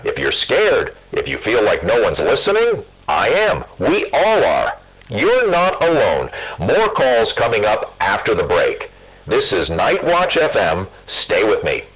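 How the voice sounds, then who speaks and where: A brooding late-night radio host played by a man speaks in a rough-edged, husky voice. His pitch rises and falls dramatically as he talks intimately and consolingly to listeners in a radio drama. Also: fairly bright tone, exaggerated voice, rough recording. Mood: somber, reassuring. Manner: slow dramatic phrasing, intimate, reassuring.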